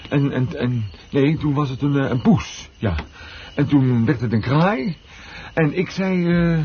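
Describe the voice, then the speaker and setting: A man's voice: mid-range voice, measured pace, a little mellow, natural voice. A man playing a confused, blustering husband who stammers and repeats himself in a radio play. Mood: flustered, confused. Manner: stammering, blustering, broken repetitions. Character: flustered, confused husband insisting he is perfectly fine. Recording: muffled, noisy old radio play recording.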